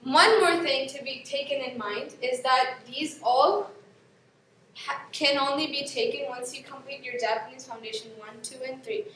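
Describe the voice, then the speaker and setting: A woman's voice: high voice, moderate pace, a bit bright, slight background noise. A young woman from Pakistan, a native Urdu speaker, presenting course registration to students in a classroom, chatty and often correcting herself. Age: young adult. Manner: chatty, explains with examples, self-corrects often. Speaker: student guide. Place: classroom in front of a screen. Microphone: far camera. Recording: echoey classroom from far off.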